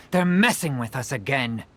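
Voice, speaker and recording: A woman's voice: rumbling low, sing-song, unhurried, a bit bright, slight background noise, exaggerated voice. A woman playing a loyal, defiant theater supporter in a video game, speaking in a wry, scornful way. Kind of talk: English video game voice acting.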